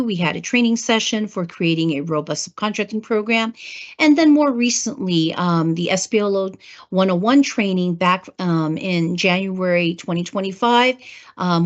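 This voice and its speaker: A woman with a hoarse voice from a cold, presenting a government training webinar, clearing her throat and using many fillers. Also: on the low side. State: hoarse, getting over a cold. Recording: muffled lo-fi webinar audio.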